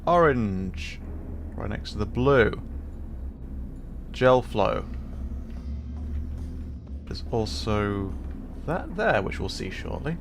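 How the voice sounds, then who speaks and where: A man giving casual, halting live commentary as he streams a video game, musing with playful asides. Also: on the low side, sing-song, vocal fry, background noise.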